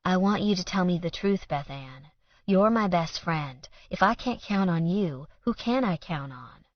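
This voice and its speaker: A woman voicing a guarded young woman who speaks softly, slowly and carefully. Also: lowish voice, animated, no room sound, muffled recording.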